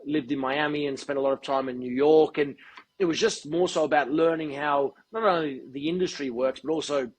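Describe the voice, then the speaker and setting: A man being interviewed as a guest, speaking in a measured, articulate and deliberate way. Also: a little high, husky, low-quality recording. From Australia. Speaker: guest, football TV presenter. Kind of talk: podcast interview.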